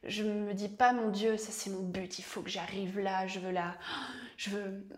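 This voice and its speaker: A young woman talks through her plans in an easy, reflective way, often circling back and restarting her sentences. She answers as an actress in an interview, at an ordinary pitch and pace. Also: little pitch movement, measured pace. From French-speaking Switzerland. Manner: reflective and chatty, with frequent restarts and laughs.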